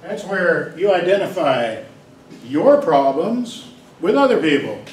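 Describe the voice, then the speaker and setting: A man's voice: on the high side, very animated, takes it slowly. A man giving an informal, chatty psychology lecture, talking straight to his students and wandering off into asides. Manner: chatty, digressive, talks directly to students. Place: lecture hall. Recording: roomy hall, distant mic, faint hiss.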